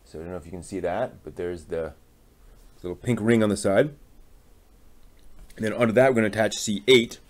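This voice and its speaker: A man casually talking through a hands-on hobby build, halting and muttering to himself as he works. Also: fairly low pitch, a little mellow. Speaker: hobby channel host building a model kit on stream.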